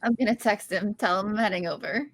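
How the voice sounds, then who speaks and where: A woman's voice: mid-range voice, quick pace, natural voice. A woman on a podcast table read voicing a quirky, insecure young woman who talks nervously. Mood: eager. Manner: nervous, self-doubting, goofy. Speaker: podcast host reading a script.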